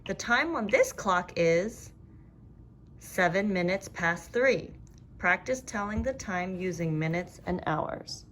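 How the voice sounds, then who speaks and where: A woman reading a children's storybook aloud as narrator, with expressive storytelling. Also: lowish voice, slow pace, noisy background. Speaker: storybook reader.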